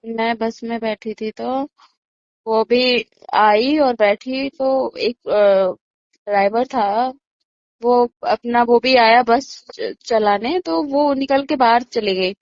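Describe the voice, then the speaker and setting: Woman eyewitness, higher-pitched voice, conversational recounting, muffled phone-call audio, dry sound. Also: slow pace, dry recording. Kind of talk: casual recounting of events. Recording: dull lo-fi phone call recording.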